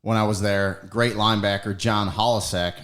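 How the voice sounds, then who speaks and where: A man's voice: lowish voice, steady tone, normal speed, a bit bright, slight background noise. Chatty middle-aged man hosting a podcast. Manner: conversational, rambling with fillers and self-corrections. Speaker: podcast host. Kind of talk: casual podcast chat and storytelling.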